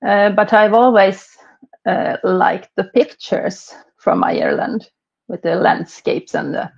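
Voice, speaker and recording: A woman's voice: medium pitch, creaky, a little mellow, breathy edge, lo-fi sound. A woman in her forties from Finland with a Finland Swedish accent, a native Swedish speaker, talking carefully and hesitantly as an interview guest. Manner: slow, careful, hesitant with many fillers. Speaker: interview guest, language learner. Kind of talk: casual interview chat.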